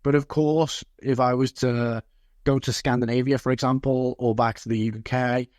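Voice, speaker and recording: A man's voice: medium pitch, steady tone, normal speed, darkish tone, dry recording, studio quality. A man from Liverpool speaking as an interview guest and football coach, reflective and earnest, repeating phrases for emphasis. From Liverpool, England.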